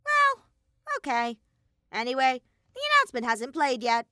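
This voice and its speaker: A woman's voice: sits high, wildly swooping pitch, normal speed, sharp tone, dry recording, studio quality, voice pushed up, exaggerated voice. A woman voicing a mischievous, callously cheerful bear mascot villain in a theatrical, flippant manner. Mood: brisk, flippant.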